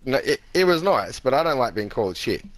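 A man's voice: mid-range voice, very animated, a little fast, no room sound, rough recording. A man in heated voice chat, aggrieved and swearing as he repeats himself over a headset. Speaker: stranger in game party chat.

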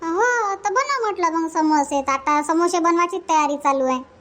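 A woman's voice: very high-pitched, moderate pace, a bit bright. A woman voicing a sociable village woman in a comedy cartoon, chatting in a Varhadi Marathi accent. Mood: chatty. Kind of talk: cartoon comedy dialogue in rural dialect.

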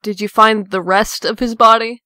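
A woman's voice: medium pitch, steady tone, normal speed, bright, forceful tone, no echo, studio quality, close to an everyday voice. A woman playing a doubtful, weary young woman in a comic dub, casual and resigned. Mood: flat, wary. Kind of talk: comic dub character acting.

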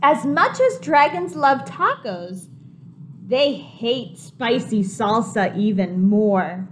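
A woman in her twenties, a preschool teacher reading a picture book aloud to small children in an animated, simply explaining way. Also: on the high side, takes it slowly, slight background noise. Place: home kitchen, indoors.

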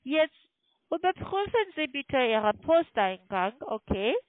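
A woman with a foreign accent speaking halting, non-native German on the phone, insistently repeating instructions as a call centre agent. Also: sits high, big pitch swings, dry recording. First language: not German. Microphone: telephone. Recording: tinny, narrow phone line.

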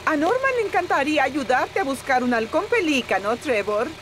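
A woman's voice: high voice, expressive, moderate pace. A woman voicing a chatty, gushing middle-aged village mother in a dubbed children's cartoon. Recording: music behind the voice.

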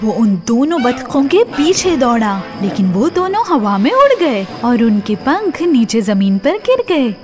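Female kids' story narrator, high register, swooping intonation, music bed behind. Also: very animated, hardly any pauses. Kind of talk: animated scripted children's story narration.

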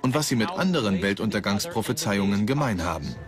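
A man's voice: lowish voice, lively intonation, fairly quick, over music, natural voice. A man presenting a documentary in scripted, dubbed narration.

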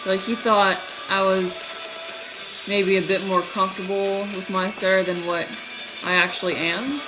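A young woman in college who stutters, talking casually to her webcam in a small room, with halting speech, many fillers and restarts. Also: mid-range voice, flat delivery, music in the background, rough recording. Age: young adult. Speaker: vlogger. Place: small room at night, indoors. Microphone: webcam at close range.

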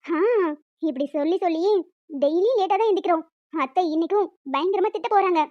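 A woman's voice: extremely high pitch, brisk, no room sound, lo-fi sound. A woman voicing a young wife in a cartoon drama, playfully scolding and bantering. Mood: playful protest. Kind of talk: cartoon relationship drama dialogue.

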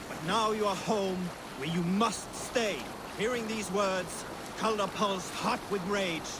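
A solemn Viking storyteller in a video game, with a fairly high voice and deliberate, dramatic delivery. Loud boat and water noise runs behind him. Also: male voice. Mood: stern. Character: male Viking storyteller recounting a grim old tale.

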